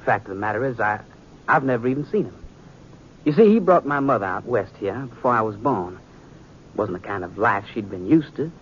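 A man's voice: lowish voice, lively intonation, close to an everyday voice. Male actor for an earnest young Old West sheriff, reserved and polite, American, muffled radio drama with faint noise. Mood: earnest, wistful. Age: young adult. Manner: courteous, reserved, deliberate. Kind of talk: 1950s radio Western acting. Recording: muffled noisy 1950s radio recording.

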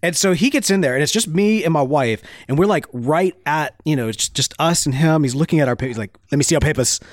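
A man's voice: a little high, lively intonation, fast talker, dry recording, studio quality. A man in his thirties co-hosting a casual podcast, rambling with lots of restarts and laughs. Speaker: podcast co-host.

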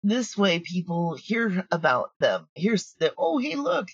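A woman's voice: on the low side, very animated, a little slow, husky, no room sound, lo-fi sound. A middle-aged woman speaking candidly and bluntly as an interview guest, talkative and sure of herself. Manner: blunt, self-assured, talkative. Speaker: guest, audio drama writer and producer.

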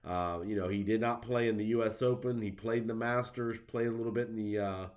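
A man's voice: on the low side, steady tone, darkish tone. A man with a stuffy head cold giving casual, conversational golf commentary and predictions, with lots of fillers. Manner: conversational, many fillers, drawn-out emphasis.

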